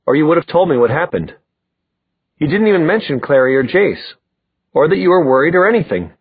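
A man's voice: on the high side, lively intonation, fast, breathy edge, no room sound, pitched above the performer's own voice. A man voicing an earnest, wounded young man in a story, insistent and reproachful in manner. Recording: muffled, narrow phone-like audio.

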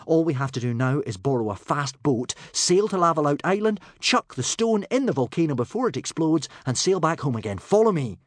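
Man as young boy hero, raised pitch, earnest, anxious, Viking storybook character. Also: sing-song, brisk, few pauses, slightly rough, dry recording, clean studio sound. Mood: brisk. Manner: pleading, earnest.

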